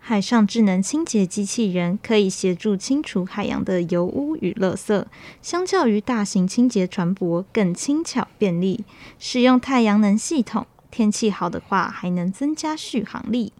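A woman's voice: mid-range voice, animated, normal speed, few pauses, darkish tone, no echo. A young woman with a Taiwanese Mandarin accent, reading scripted news as a campus radio anchor. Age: young adult.

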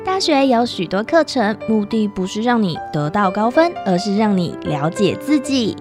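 A woman's voice: mid-range voice, very animated, normal speed. A woman reading a scripted introduction to a radio programme over music.

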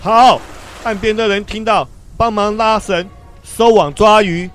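Male actor as old fisherman, high voice, admonishing, steady, theatrical, biblical radio drama. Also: unhurried, soft background music. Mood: urgent.

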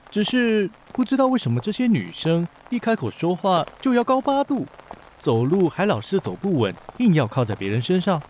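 An actor playing a reserved, considerate young company boss in a romantic radio drama, composed and understated. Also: male voice, high-pitched, poor audio. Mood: dry, grumbling. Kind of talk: modern urban romantic radio drama acting. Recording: faint noise, rain ambience.

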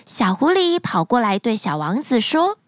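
Woman storyteller, high voice, lively melody, gentle bedtime reading, dry close recording. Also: normal speed, no echo.

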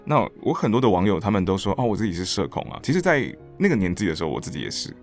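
A man talks casually as an interview guest. He speaks fast with lively, swinging intonation. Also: fairly low pitch, faint music behind. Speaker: interview guest, voice actor and stage director.

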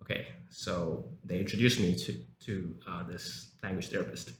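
Relaxed South African-raised man with low voice. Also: normal speed. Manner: frequent fillers, repeats words for emphasis. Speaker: guest, university English lecturer. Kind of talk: casual interview talk. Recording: echoey room, faint background noise.

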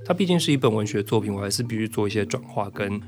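A man's voice: fairly low pitch, lively intonation, racing, music in the background. A man with a Taiwanese Mandarin accent, talking frankly and reflectively as a guest in a personal interview. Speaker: interviewed author. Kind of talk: candid personal interview talk.

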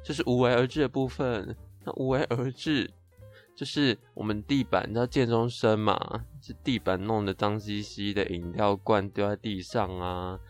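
A man's voice: fairly low pitch, steady tone, moderate pace, faint music behind. A young man with a Taiwanese Mandarin accent co-hosting a podcast, telling chatty comic stories in a playfully exaggerated way. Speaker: podcast co-host. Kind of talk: chatty comic storytelling in a two-host podcast.

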